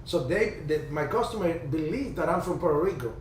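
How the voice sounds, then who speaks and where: A man, hosting a casual ham radio video chat and telling stories in a chatty way, with halting restarts. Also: a little high, animated. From Cuba. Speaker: ham radio livestream host. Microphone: video call. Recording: distant roomy video-call audio, faint hiss.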